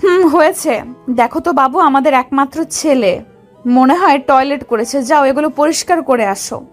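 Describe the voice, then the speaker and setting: A woman's voice: sits high, normal speed, close to an everyday voice. A woman playing a bossy, short-tempered young wife in an audio story. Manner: commanding, scolding. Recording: music behind the voice.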